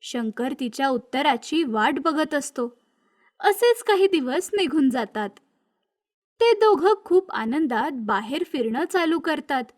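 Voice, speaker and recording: High-pitched woman storyteller. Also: animated, measured pace. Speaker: narrator of a moral story. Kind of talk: scripted animated-story narration.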